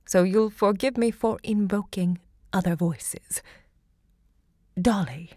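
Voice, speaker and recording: An actress playing an elderly American woman writer and literary hostess in an audio drama, speaking in a gracious, deliberate, theatrical way. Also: medium pitch, mellow, dry recording, studio quality. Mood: reflective, theatrical. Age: older.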